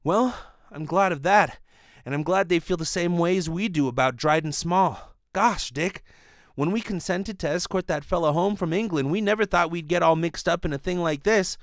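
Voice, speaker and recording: A man voicing an earnest, excitable, chummy police sidekick in an old-style radio play. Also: on the high side, normal speed, no room sound, clean studio sound, pitched above the performer's own voice, lightly acted. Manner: gee-whiz, chummy. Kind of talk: old radio serial acting.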